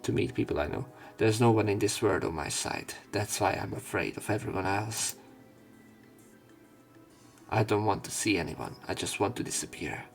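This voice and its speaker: A man's voice: on the low side, animated, normal speed, vocal fry, soft background music. A man reading visual novel text aloud for a let's play, dropping in casual, sweary asides.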